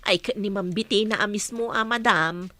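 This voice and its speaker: A woman playing a gossipy, excitable young housemaid in an Ilocano radio comedy drama. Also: medium pitch, moderate pace, no room sound, natural voice.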